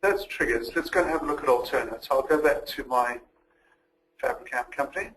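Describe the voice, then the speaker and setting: A man giving a methodical, step-by-step walkthrough as a software demonstrator in a recorded webinar. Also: mid-range voice, a little fast, poor audio. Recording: noticeable background noise.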